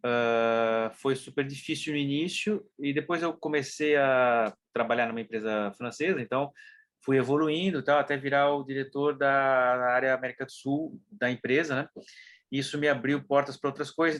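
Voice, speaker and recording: A Brazilian man with a southern Brazilian accent, a guest telling stories casually in an interview, lively and full of fillers. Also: medium pitch, brisk. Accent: southern Brazilian Portuguese. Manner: animated storyteller, mimics people, many fillers like né. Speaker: interview guest, business consultant.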